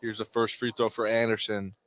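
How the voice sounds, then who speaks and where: A man's voice: fairly low pitch, flat delivery, fairly quick. Man calling basketball play-by-play over gym noise. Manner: clipped, terse running call in short phrases. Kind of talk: live sports radio commentary. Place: indoor high school basketball game. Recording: clear background noise of a gym.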